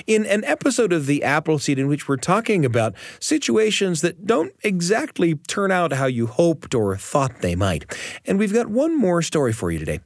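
A man hosting a radio storytelling show, narrating from a script in an animated way with dramatic emphasis. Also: fairly high pitch, no echo, clean studio sound.

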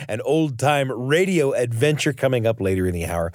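A man's voice: mid-range voice, lively intonation, normal speed, no room sound. A man hosts a story program from a dry studio. His mid-range voice moves animatedly up and down, leaning on key words as he narrates a prepared script. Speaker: radio show host.

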